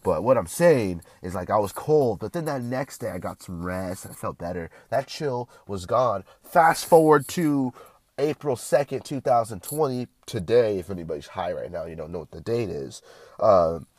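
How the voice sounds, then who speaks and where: A man's voice: fairly low pitch, expressive, moderate pace, a little mellow, no echo, studio quality. A young man vlogging casually with a stuffy, congested nose, rambling and swearing often with lots of restarts.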